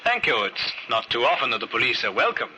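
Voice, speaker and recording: An actor in an old radio drama playing a shrewd, courteous police inspector who questions in a measured, drily sceptical way. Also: male voice, mid-range voice, very animated, lightly acted. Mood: probing, polite. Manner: measured, probing, dry understatement. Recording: hissy, sharp old radio recording.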